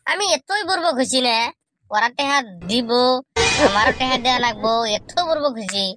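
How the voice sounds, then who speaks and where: A man voicing a cheeky, self-pitying young village slacker in a comic cartoon, with a rural Bengali accent, exaggerated slangy grumbling and a pitched-up voice. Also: expressive, moderate pace. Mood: scheming, annoyed. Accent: rural regional Bengali. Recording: loud music under the voice. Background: loud music.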